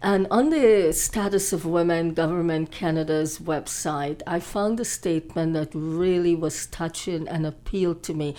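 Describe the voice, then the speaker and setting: A woman's voice: deep voice, mellow. A woman hosting a panel talk show, introducing guests and reading a quoted statement. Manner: slow, deliberate, affirming interjections.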